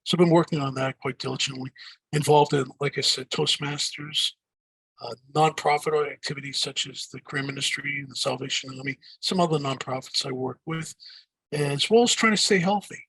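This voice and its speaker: An older man with a deep Boston accent, answering interview questions in a rambling way, with many fillers and restarts. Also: fairly even intonation, a little fast, a bit bright, breathy edge, no room sound, rough recording. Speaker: guest.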